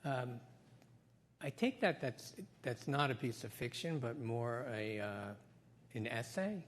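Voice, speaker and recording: A man's voice: medium pitch, animated, slow. A man interviewing an author onstage, asking short questions. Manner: brief questions and backchannel murmurs.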